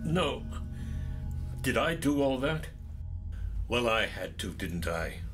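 A man's voice: mid-range voice, big pitch swings, takes it slowly, a bit bright, faint music behind. An actor playing a weary, bitter, ailing king in a dramatic play, brooding and self-justifying. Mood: brooding, tormented.

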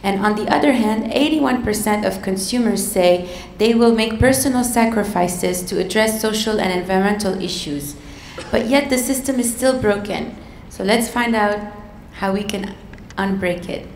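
A woman hosting an event, reading a measured scripted introduction from a laptop at a podium with a handheld mic. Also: medium pitch, creaky, a little mellow, a little hiss or hum. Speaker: event host. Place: large auditorium stage. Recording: echoey hall with long reverb.

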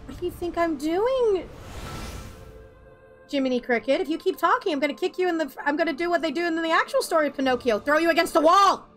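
High-pitched woman streamer ranting at a game, super lively voice, swears a lot, game music underneath. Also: quick pace. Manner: sarcastic, swears at enemies, talks to the game. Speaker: gaming streamer. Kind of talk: ranting let's-play commentary.

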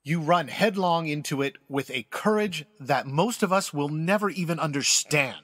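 An actor playing a wise, secretive old space admiral in an audio drama, speaking as a measured, formal, earnest mentor. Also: male voice, fairly high pitch, lively intonation, a bit bright, husky, dry recording, clean studio sound.

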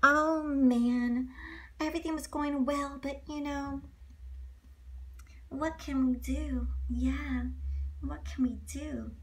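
A woman co-reading a children's storybook, with excitable, playful asides and repeated phrases. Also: sits high, takes it slowly, pauses often. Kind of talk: animated read-along storytelling with playful asides.

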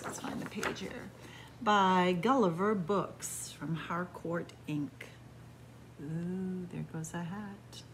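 A middle-aged woman librarian reading a picture book aloud to young children in a library, in a sing-song way with soft hushed asides. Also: lowish voice, background noise. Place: library with bookshelves, indoors.

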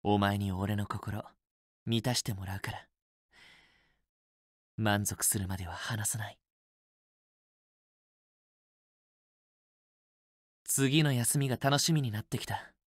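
A man's voice: fairly low pitch, measured pace, pauses often, dry recording, studio quality. A man playing a possessive, teasing young student boyfriend in a romance game, with an intimate, assertive, coaxing delivery. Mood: possessive, confident. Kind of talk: Japanese otome game voice acting, intimate romantic dialogue.

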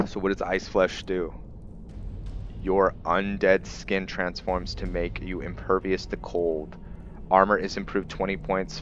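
A man's voice: sits low, fairly bright tone, muffled recording, slight background noise. A man giving casual video game commentary with some roleplay, rambling as he thinks aloud. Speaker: let's play host.

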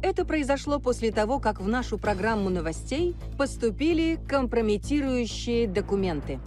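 An actress playing a formal, crisp female TV news reporter delivering a grave bulletin in a video game. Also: on the high side, lively intonation, normal speed, a little mellow, soft background music, natural voice.

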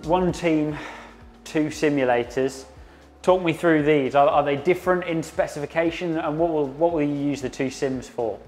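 A man's voice: a little high, normal speed, a little mellow, faint music behind. A man with an inquisitive, conversational manner, interviewing his guide on a factory tour. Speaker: interviewer and commentator.

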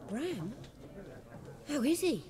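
Plain-spoken village innkeeper. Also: female voice, high voice, big pitch swings, takes it slowly, crowd noise, full character voice. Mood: surprised, concerned. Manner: folksy, earnest. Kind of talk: video game dialogue acting.

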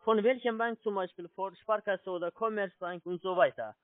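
High-voiced foreign-accented man on muffled phone. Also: no room sound. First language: not German. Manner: halting explanations, often checking with "ja?". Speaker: telephone sales agent, scammer. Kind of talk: phone sales pitch. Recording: muffled low-fidelity phone line.

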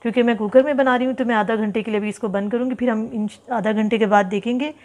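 A slightly high-voiced woman talks through a home cooking lesson in a relaxed, chatty way. She lists quantities carefully, pausing and repeating items as she goes. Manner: chatty, instructive, lists ingredients slowly with repeats. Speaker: cooking channel host. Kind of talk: home cooking tutorial voice-over. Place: home kitchen.

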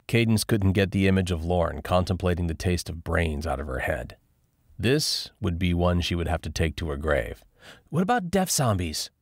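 A man's voice: sits low, normal speed, no echo, clean studio sound. A man narrating a scripted story as an audiobook reader.

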